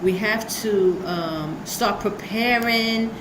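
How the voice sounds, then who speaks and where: A woman's voice: mid-range voice, slow, reverberant, background noise. A woman hosting her channel, talking earnestly and rambling about her diet and about preparing, with many fillers. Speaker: channel host. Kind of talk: casual reaction commentary.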